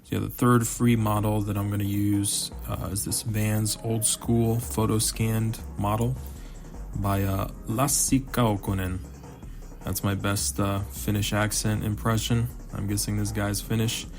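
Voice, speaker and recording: A man with a low, gravelly voice talks through a software tutorial as he works. He thinks aloud in a loose, relaxed way, with many small fillers between steps. Clear background music plays under his voice-over. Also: unhurried. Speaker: tutorial host. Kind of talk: screen-recorded software tutorial.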